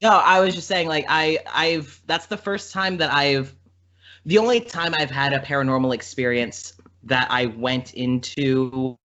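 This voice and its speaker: A man's voice: medium pitch, a little slow, pushed and bright. An American man chatting casually as a podcast guest, telling personal anecdotes in a self-deprecating, jokey way. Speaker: podcast guest, audio drama creator. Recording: dull, lo-fi online call audio.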